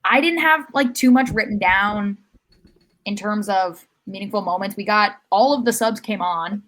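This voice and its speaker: A woman's voice: medium pitch, normal speed, fairly bright tone. A woman co-hosting a podcast, talking sports in a casual, analytical way. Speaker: podcast co-host.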